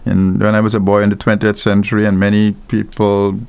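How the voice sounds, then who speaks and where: An older man from Guyana, a pastor speaking as a guest on a call-in radio show, unhurried and rambling, with many ums and small laughs. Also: lowish voice, monotone, creaky, a little mellow, clean tone. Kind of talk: unscripted radio talk.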